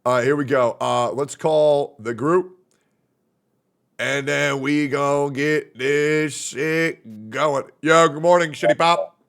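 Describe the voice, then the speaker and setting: A man's voice: on the high side, slow pace, fairly bright tone. A man hosting a gaming stream, chatting on a voice call with crude, teasing banter. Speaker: streamer host.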